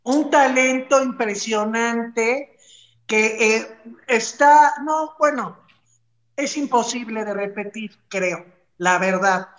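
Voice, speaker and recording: A woman's voice: fairly high pitch, fairly bright tone. A middle-aged woman with a Mexican accent chatting casually as a panelist on an entertainment show. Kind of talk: casual chat. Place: indoor room, video call. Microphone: video call mic. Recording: echoey room, dull lo-fi call audio.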